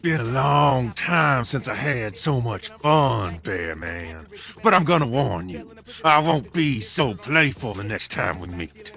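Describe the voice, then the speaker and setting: An actor playing a swaggering, profane gunslinger villain in a video game, with a taunting drawl. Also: male voice, medium pitch, expressive, slow pace, gravelly, sharp tone, heavily acted. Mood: gleeful taunting.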